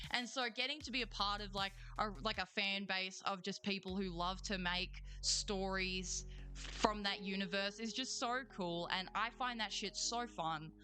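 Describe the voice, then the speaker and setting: A young Australian woman chats and rants to her stream audience, swearing freely and swinging between gripes and cheer. Her voice is bright and forward, with a low bed of music behind her. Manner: swears freely, slangy, quick emotional swings. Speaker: streamer and voice actor. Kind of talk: casual livestream rant and chat reading.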